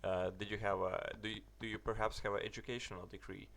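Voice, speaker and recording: A young British man narrating a website walkthrough, halting with many fillers and restarts. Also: lowish voice, little pitch movement, gravelly, fairly bright tone. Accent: British English. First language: English. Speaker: tutorial narrator. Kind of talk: screen-recorded tutorial walkthrough.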